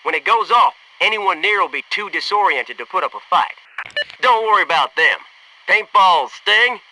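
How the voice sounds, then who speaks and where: An American actor playing a brash, upbeat, teasing soldier in a military video game, with punchy, cocky, informal delivery. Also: male voice, high-pitched, bright, forceful tone, distant mic, a little hiss or hum, heavily acted, poor audio.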